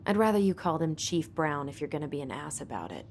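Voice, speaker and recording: An actress voicing a wistful, playful young adult twin sister in a naturalistic video game style, expressive and wry. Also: female voice, low voice, fast talker, creaky, a little hiss or hum, full character voice. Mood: animated.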